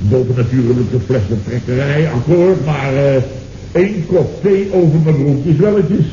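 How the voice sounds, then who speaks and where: A man's voice: mid-range voice, normal speed, warm tone, natural voice. Actor as a blustering, flustered man, noisy old recording. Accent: Dutch. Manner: blustering, stammering, exclamatory storytelling. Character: flustered, indignant man recounting his own disastrous errand. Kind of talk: 1970s Dutch radio comedy acting. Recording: noisy, dull old radio recording, roomy.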